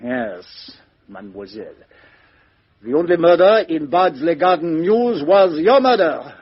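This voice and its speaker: An actor playing a shrewd, stern but courteous Belgian detective with a French accent and formal, deliberate phrasing in a radio mystery drama. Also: male voice, fairly high pitch, expressive, slightly rough. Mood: quietly assured. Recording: dull lo-fi radio recording.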